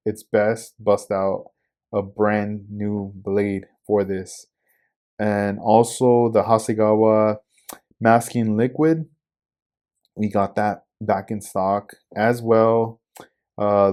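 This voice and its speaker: Man in his 40s, lowish voice, relaxed podcast host, rambling casual delivery. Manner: laid-back, loose, lots of fillers like "like" and "um". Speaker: podcast host and hobby shop owner. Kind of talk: casual product rundown.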